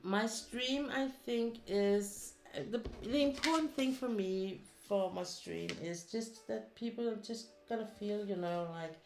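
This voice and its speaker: A woman's voice: mid-range voice, a little slow, hardly any pauses, darkish tone, faint music behind. Danish woman rambling in an echoey room. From Denmark. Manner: rambling, with many fillers and restarts. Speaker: streamer. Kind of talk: casual chat while crafting.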